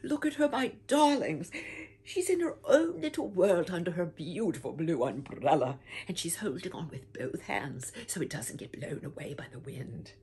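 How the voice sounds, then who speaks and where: An older woman reading a children's picture book aloud with theatrical whispers and swooping pitch, talking warmly to young listeners as "my dears". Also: animated, moderate pace, slightly rough. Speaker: storybook reader. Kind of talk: expressive read-aloud for children. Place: home room with bookshelf, indoors.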